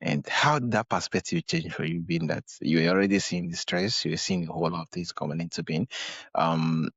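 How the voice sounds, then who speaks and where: A man's voice: low voice, a little fast, fairly bright tone, husky, no room sound. A man hosting an interview podcast, speaking hesitantly with many uhs and repeating words of praise. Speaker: podcast host. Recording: dull lo-fi dry mic.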